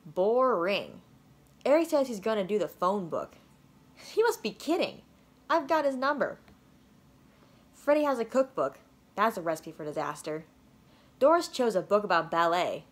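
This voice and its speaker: A woman reading a children's storybook aloud with playful, expressive narration. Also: mid-range voice, normal speed, long pauses. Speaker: storybook reader.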